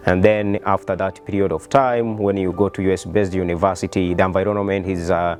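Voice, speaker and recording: A man's voice: low-pitched, fairly even intonation, fairly quick, a little mellow, soft background music. A man with a Rwandan accent speaking as a company founder, explaining his business in an earnest interview style. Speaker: startup founder and CEO.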